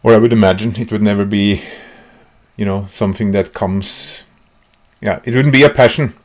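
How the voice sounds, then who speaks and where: A man's voice: fairly low pitch, fairly even intonation, normal speed. Casting: male host, casual interview, rambling, restarts often. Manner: rambling, stumbling questions.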